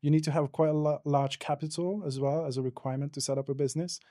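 A man's voice: a little high, steady tone, fast, mellow, no echo, studio quality. A man from Hungary, answering as a podcast guest in a casual, hesitant way with many fillers and restarts.

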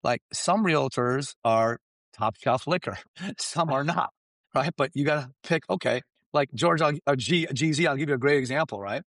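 A man whose first language is Farsi, giving emphatic, animated business coaching and often checking in with "right?" and "you understand?". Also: mid-range voice, normal speed, no echo, clean studio sound. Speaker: guest expert. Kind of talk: energetic business coaching talk.

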